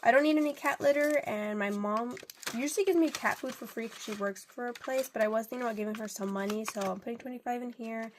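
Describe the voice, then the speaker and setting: A woman's voice: on the high side, quick pace, a little hiss or hum. A young woman with a congested voice from a cold, chatting in a rambling way while counting out cash for her budget. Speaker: budgeting vlogger.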